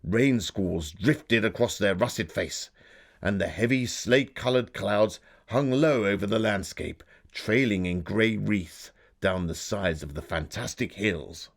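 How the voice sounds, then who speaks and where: A man voicing an earnest Victorian gentleman, reading his diary as a solemn, dramatic literary storyteller. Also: fairly low pitch, a little slow, creaky, pitched below the performer's own voice. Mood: brooding, grim.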